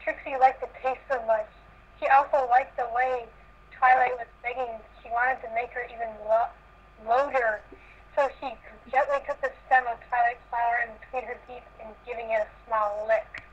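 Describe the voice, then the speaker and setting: A woman's voice: fairly high pitch, little pitch movement, normal speed, dull audio. A woman reading a story aloud in an amateur style. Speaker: reader in a group fan fiction reading.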